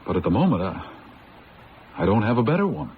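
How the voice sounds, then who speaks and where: A man's voice: lowish voice, very animated, brisk, very noisy. An actor playing an uneasy, earnest young man who narrates his haunted-house tale in a radio drama. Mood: grave, recounting. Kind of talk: radio drama acting with first-person narration. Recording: dull lo-fi old radio, music behind.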